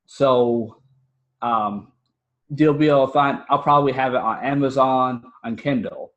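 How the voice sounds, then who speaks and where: Male podcast guest, American accent, mid-pitched voice, informal and earnest. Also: unhurried, dull audio. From United States. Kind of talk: casual interview talk.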